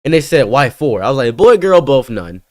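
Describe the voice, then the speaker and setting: A man's voice: medium pitch, a little fast, no echo, clean studio sound. A man in his thirties, born in Egypt, chatting casually on a video call and acting out quoted remarks as he tells stories. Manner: animated storytelling, acts out quotes. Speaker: streamer host. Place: bright green-walled room indoors. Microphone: webcam on a video call.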